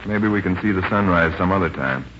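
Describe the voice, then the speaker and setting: A man's voice: low voice, a little fast, lightly acted. An actor playing an exasperated young male ship passenger in 1940s radio style, stammering and blustering his way along. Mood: puzzled. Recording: muffled, hissy old radio recording.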